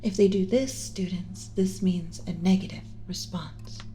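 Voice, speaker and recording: A woman voicing a gentle, motherly alien scientist in an ASMR roleplay, speaking in a soothing, measured, instructional, often whispery way. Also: lowish voice, slight background noise, natural voice. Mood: calm, instructive. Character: gentle motherly alien scientist teaching a class about pet human.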